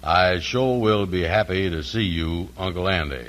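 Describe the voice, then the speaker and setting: A low-voiced, slow-witted but big-hearted uncle from a 1940s radio comedy. He talks in a folksy, ungrammatical drawl, humble and proud. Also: fairly bright tone. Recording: vintage 1940s radio broadcast.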